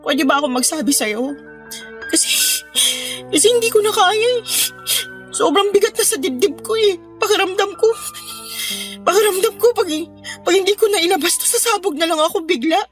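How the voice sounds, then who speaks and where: A young woman playing an exhausted, frustrated new mother in an audio drama, speaking in a weary, emotional, halting way. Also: very high voice, animated, soft background music. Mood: anguished, pleading.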